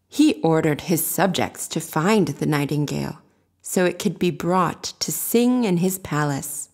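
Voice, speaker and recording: A woman's voice: low-pitched, lively intonation, measured pace, vocal fry, a little mellow. A woman narrating a scripted fairy tale as a storyteller.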